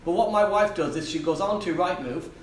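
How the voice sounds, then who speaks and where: A man's voice: sits high, brisk. Emphatic Irish man in an echoey hall. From Ireland. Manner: emphatic, repeats key phrases. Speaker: property trainer on a Q&A panel. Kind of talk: live seminar Q&A answer. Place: live event room. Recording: echoey room, distant mic, faint noise.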